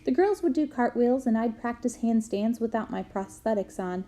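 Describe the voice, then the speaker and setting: A woman reading a memoir aloud to students in a clear storytelling manner. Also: on the high side, animated, normal speed. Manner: clear storytelling, bursts into loud shouts for quoted yells.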